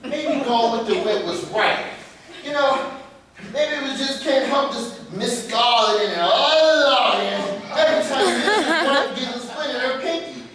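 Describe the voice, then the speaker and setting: A man's voice: very high voice, lively intonation, a little slow, a crowd in the background. A man playing a sarcastic, defensive young nephew with an American accent at a staged play reading. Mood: scornful. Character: indignant, educated young nephew living off his aunts. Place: staged reading in a reverberant room. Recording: echoey room, distant mic, noisy.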